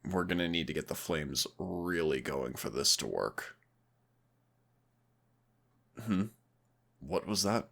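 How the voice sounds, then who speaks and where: Male actor as caring young-love boyfriend for intimate audio roleplay: very low, gravelly voice, tender and reassuring. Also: lively intonation, takes it slowly, long pauses, a bit bright, heavily acted. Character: affectionate, cautious, playful boyfriend sheltering from a snowstorm. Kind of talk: intimate audio roleplay, one side of a conversation.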